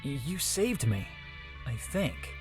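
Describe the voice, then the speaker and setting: A man voicing a frightened, disbelieving young university student in a visual novel, speaking in an anxious, halting way. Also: medium pitch, big pitch swings, music in the background, voice pushed up. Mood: shaken, confused. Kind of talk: visual novel character reading.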